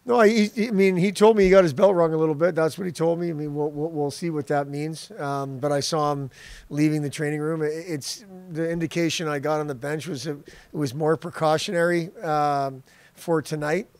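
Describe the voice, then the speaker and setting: A middle-aged man, a head hockey coach, answering at a post-game press conference, halting and repeating words but blunt. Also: on the high side, fairly even intonation, a little mellow, dry recording. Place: press room backdrop. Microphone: podium mic.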